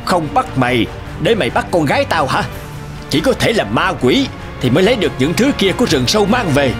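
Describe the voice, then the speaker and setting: An actor voicing a stern, scheming middle-aged lord in an animated folk tale, haughty and menacing. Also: male voice, fairly high pitch, brisk, over music. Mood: furious.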